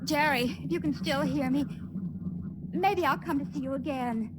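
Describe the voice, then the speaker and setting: A woman acting a tender, brave, doomed young stowaway in a 1950s radio drama, speaking emotionally and haltingly. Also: high voice, close to an everyday voice. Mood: tearful farewell. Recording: noisy vintage radio recording.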